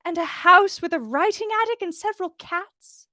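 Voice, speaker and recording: A woman's voice: very high-pitched, moderate pace, sharp tone, dry recording, voice pushed up. A woman voicing a prim, condescending older villainess in a story, with a sugary, haughty manner. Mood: breathy longing.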